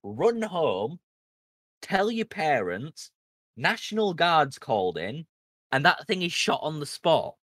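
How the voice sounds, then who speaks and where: A man's voice: on the high side, takes it slowly, dry recording. A man co-hosting a podcast, chatting casually and ranting with lots of swearing. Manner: animated, swearing rants. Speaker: podcast co-host. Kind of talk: casual chat.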